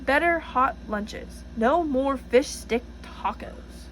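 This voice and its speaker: A woman's voice: sits high, lively intonation, takes it slowly, a little hiss or hum, low-quality recording. A woman reading the part of an earnest, determined schoolgirl in a storybook, with emphatic delivery. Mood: earnest campaigning.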